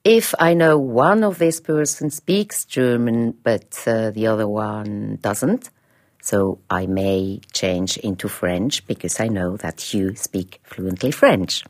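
Swiss-accented woman, very deep, slow, hesitant, many fillers. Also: a little mellow. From Switzerland. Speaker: interviewee, head of a language department. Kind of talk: radio interview.

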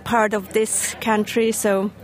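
A woman from Germany answering questions in a street interview outdoors, hesitant with many pauses. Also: mid-range voice, flat delivery. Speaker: member of the public. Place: outdoors at a gathering.

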